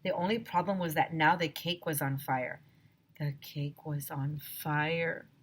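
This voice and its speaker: A woman teacher reading a children's story aloud expressively, with an animated, emphatic manner, addressing young listeners directly. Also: sits low, measured pace, slightly rough.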